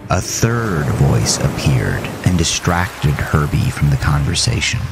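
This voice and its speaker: A man narrating a scripted horror story in a measured, solemn way. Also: rumbling low, big pitch swings, vocal fry, loud music behind the voice.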